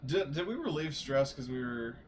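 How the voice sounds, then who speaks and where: Man, tabletop player, animated pitch, casual joking chat, slightly noisy recording. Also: moderate pace.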